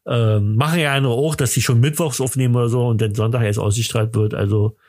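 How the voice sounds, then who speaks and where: A male podcast host with a mid-pitched voice chats casually and jokingly. He rambles and hesitates, halting often as he goes, with a tongue-in-cheek edge. The sound is dry, with very little room. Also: steady tone, darkish tone, no echo.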